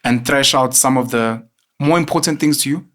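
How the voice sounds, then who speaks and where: A man's voice: medium pitch, moderate pace, fairly bright tone. A man hosting an interview, talking in an effusive, chatty and admiring way. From South Africa. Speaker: host. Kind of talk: interview conversation.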